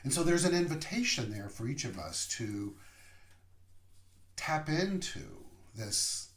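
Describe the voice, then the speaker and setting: A male minister speaking at an unhurried pace, leaving long pauses and letting his phrases settle. His voice sits at an ordinary middle pitch. The sound carries a noticeable room echo. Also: very animated. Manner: slow, reflective, pausing between phrases. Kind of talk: sermon. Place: room, via online service. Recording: roomy echo.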